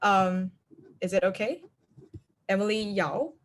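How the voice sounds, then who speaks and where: A woman's voice: mid-range voice, slow, slight background noise. A woman moderating an online video call, hesitant and full of fillers as she introduces speakers. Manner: hesitant, many fillers, unsure of name pronunciation. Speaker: conference moderator.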